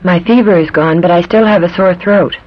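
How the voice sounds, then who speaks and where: High-voiced man reading drill sentences. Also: brisk. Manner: clear, careful reading. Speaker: drill speaker on language tape. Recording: dull old language tape.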